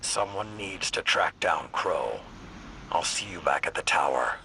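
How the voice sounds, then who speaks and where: A man playing a gruff, stern veteran military commander in a video game, terse and blunt. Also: low-pitched, lively intonation, a little slow, slight background noise, heavily acted. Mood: grim, determined. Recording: loud game music and effects behind.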